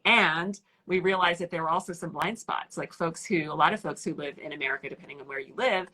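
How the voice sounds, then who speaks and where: A woman with a bright, slightly low voice chats as a guest author on a video call. She talks animatedly and at length, dropping plenty of casual fillers as she goes. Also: fast. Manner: animated and talkative, many "like" fillers. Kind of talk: casual live-stream interview.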